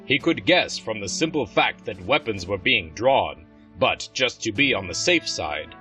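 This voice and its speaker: Male narrator for fantasy audio drama, crisp bright delivery, scripted storytelling over soft music. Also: fairly low pitch, lively intonation, a little slow.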